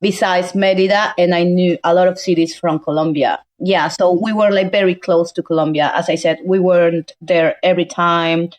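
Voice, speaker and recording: Woman with a Venezuelan accent, lowish voice. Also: fairly even intonation, moderate pace, few pauses, darkish tone. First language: Spanish. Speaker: podcast guest, climber. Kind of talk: casual chat.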